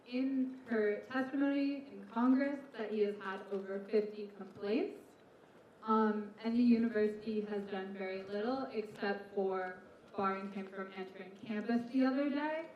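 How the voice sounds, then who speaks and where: A woman's voice: mid-range voice, slight background noise. Firm young woman heard from a distance. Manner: firm, indignant. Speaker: student speaker at a press conference. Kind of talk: outdoor press statement. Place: outdoors on a campus. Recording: distant, echoey, dull outdoor audio.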